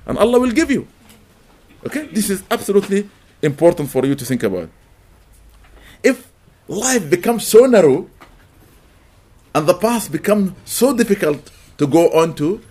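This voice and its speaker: A middle-aged man whose first language is Arabic, teaching a live audience, animated and emphatic, rising to loud peaks. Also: high-pitched. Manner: animated, rising to emphatic peaks, welcomes latecomers mid-talk. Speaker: religious teacher.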